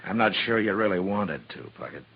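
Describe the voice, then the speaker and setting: An actor playing a steady, authoritative frontier marshal in a 1950s radio Western, speaking in a terse, firm way. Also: male voice, lowish voice, fairly quick, creaky. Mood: stern. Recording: muffled, hissy 1950s radio recording.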